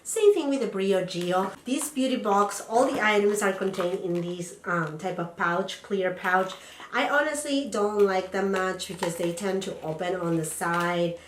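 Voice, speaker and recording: Young woman beauty vlogger, slightly raspy from a cold, chatty and enthusiastic, echoey home room. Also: mid-range voice, measured pace, slight background noise. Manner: chatty, enthusiastic, rambling with fillers. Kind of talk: casual unboxing chat.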